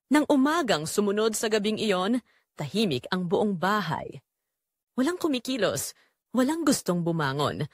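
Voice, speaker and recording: A woman with a Filipino accent playing a betrayed middle-aged mother, telling her story solemnly and deliberately in an audio drama. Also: medium pitch, no room sound, studio quality. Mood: sorrowful, weary. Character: betrayed middle-aged mother, wounded but quietly resolute. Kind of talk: dramatic first-person audio story narration.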